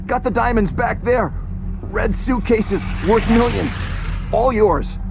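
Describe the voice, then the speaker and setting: A man's voice: high voice, slow, creaky, music in the background, full character voice. An actor playing a desperate, jittery man who pleads in clipped phrases in a video game cutscene. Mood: nervous bargaining.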